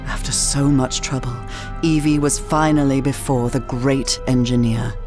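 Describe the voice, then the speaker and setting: Female game narrator: deep-pitched, composed, literary and measured, set over music. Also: steady tone. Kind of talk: scripted story narration. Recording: music under the voice.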